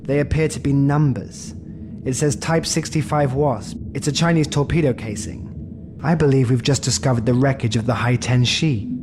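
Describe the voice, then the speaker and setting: An actor playing a dry, superior submarine commander in a sci-fi audio drama, speaking precisely and sarcastically. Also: male voice, medium pitch, normal speed, background noise, natural voice. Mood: grave, exact. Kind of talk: full-cast sci-fi audio drama acting. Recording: dialogue over music bed.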